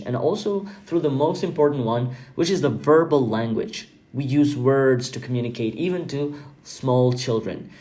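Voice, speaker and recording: A man whose first language is Albanian, hosting a podcast in an earnest, reflective monologue, often pausing with fillers and repeating words. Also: mid-range voice, a little mellow. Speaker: podcast host.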